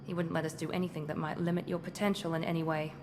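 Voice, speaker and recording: An actress playing a confident, critical covert operative in a video game, speaking in an aloof, self-assured way. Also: female voice, low-pitched, level tone, very fast. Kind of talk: video game cutscene acting. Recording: clear ambient noise behind.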